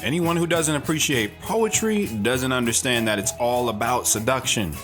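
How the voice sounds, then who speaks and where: A man's voice: mid-range voice, animated, moderate pace, fairly bright tone, close to an everyday voice. Romantic young husband over guitar music male actor. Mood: romantic, poetic.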